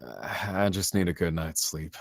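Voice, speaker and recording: A man's voice: extremely low pitch, lively intonation, moderate pace, creaky, dry recording, clean studio sound, full character voice. A man playing a weary, withdrawn young man who brushes off concern, in a screenplay table read. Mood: reluctant.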